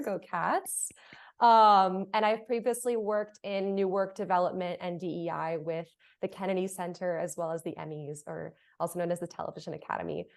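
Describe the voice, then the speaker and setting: A woman from Brazil speaking as a panelist on a video call, introducing herself and her views. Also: on the low side. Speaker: panelist, theater artist and editor.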